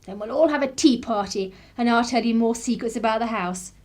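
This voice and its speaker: A woman's voice: fairly high pitch, fairly quick, a little mellow, lightly acted. An actress playing a polite, chatty, inquisitive English woman visitor in a radio drama, with a genteel manner and an English accent. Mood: conspiratorial.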